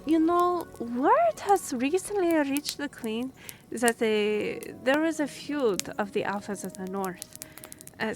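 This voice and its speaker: A woman playing a courteous half-elf royal liaison in a fantasy audio drama, speaking formally and explaining things with some hesitation. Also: on the high side.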